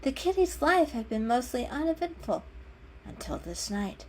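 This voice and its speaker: A woman's voice: high voice, big pitch swings, normal speed, a little mellow, slight background noise, rough recording. A woman narrator giving a dramatic storybook reading. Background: music.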